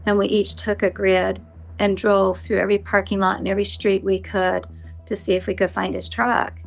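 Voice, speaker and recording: A woman's voice: mid-range voice, little pitch movement, normal speed, vocal fry, soft background music. An American woman being interviewed, retelling what happened in a blunt, conversational way. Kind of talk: conversational personal recollection.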